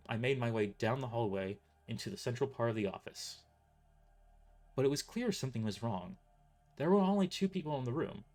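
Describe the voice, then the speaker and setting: A man's voice: mid-range voice, a little mellow. A man reading a first-person story as a dramatic narrator, occasionally stumbling over his words. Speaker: author narrating own novel.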